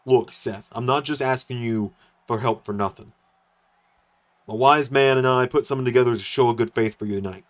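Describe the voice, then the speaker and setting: A man plays an earnest pro wrestler in a game storyline. He sounds solemn and proud, pressing his case with insistence at an ordinary male pitch. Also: brisk, close to an everyday voice. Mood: persuasive.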